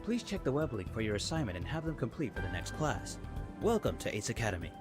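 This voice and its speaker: A man's voice: medium pitch, sing-song, fast talker, music in the background, voice pushed down, close to an everyday voice. A man voicing a composed, courteous male professor who lectures a class formally in a visual novel game.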